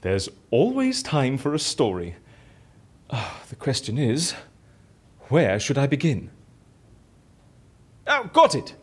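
A man's voice: medium pitch, wildly swooping pitch, takes it slowly, pauses often, husky, full character voice. A man acting in an audio drama as a bored, boastful, theatrical raconteur who talks chattily and self-importantly. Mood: eager. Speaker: lead character in audio fiction.

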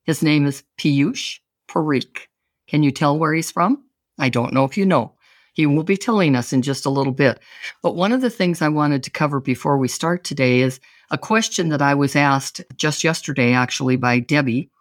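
A woman hosting an interview show, talking with warm enthusiasm and an effusive manner. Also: sits low, moderate pace, dry recording, clean studio sound. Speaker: host.

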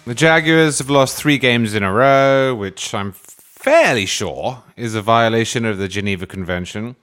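A man's voice: medium pitch, measured pace, a bit bright, faint music behind. A man hosting his podcast with a dry, wordy, mock-grandiose manner before interviewing a guest. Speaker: podcast host. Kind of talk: scripted comic monologue and interview.

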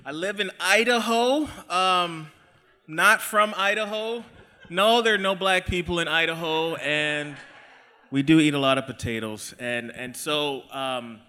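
Bright, clear man joking with an audience. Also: a little high, a little slow. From Texas. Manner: friendly, joking, conversational with restarts. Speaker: podcast host and equity trainer, guest speaker. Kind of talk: live talk to an audience. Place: live event hall. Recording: echoey hall.

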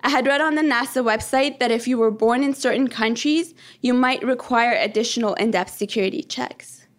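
A woman's voice: a little high, a little fast. Earnest young woman telling a personal story. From Iran. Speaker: storyteller, PhD candidate. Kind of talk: first-person stage storytelling.

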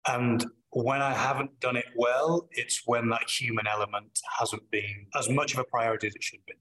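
Middle-aged Manchester man, a guest reflecting on leadership: bright, forceful tone, thoughtful pauses and self-corrections. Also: medium pitch, fairly even intonation, rough recording. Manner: thoughtful, with frequent pauses and restarts. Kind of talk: reflective interview answers.

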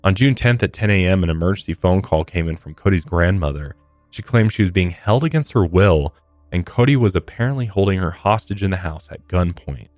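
Very deep-voiced American man narrating true crime. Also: expressive, brisk, a little mellow, no echo. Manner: blunt, profane commentary. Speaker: true-crime podcast host. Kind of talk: scripted true-crime narration with casual asides. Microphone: close studio podcast microphone. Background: faint music.

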